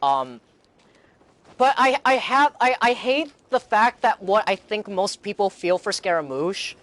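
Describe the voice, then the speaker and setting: A man's voice: high voice, animated, unhurried, a bit bright, no echo. A young man chatting casually as a game streamer, rambling earnestly with a fan's enthusiasm and repeating his phrases. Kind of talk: casual live-stream chat, game reaction. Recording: game music in the background.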